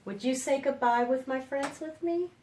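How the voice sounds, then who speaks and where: A woman's voice: high voice, normal speed, mellow. A woman hosting a children's storytime, explaining books and putting simple questions to young listeners. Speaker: children's storytime host and book reviewer. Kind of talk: children's storytime book review.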